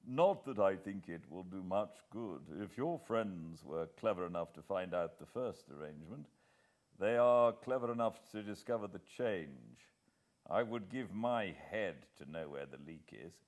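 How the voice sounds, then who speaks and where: A British man voicing an upper-class senior government official in an audiobook, brisk, confiding and emphatic. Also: sits low, lively intonation, a little mellow. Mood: grave, emphatic.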